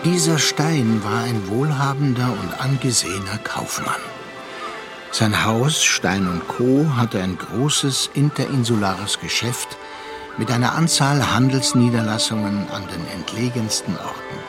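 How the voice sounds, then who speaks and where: An actor narrating a literary radio play as a seasoned seafarer, reflective and measured. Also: male voice, medium pitch, lively intonation, lightly acted. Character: seasoned male seafarer recounting a troubled younger friend's story. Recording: studio radio play with music underneath. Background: music.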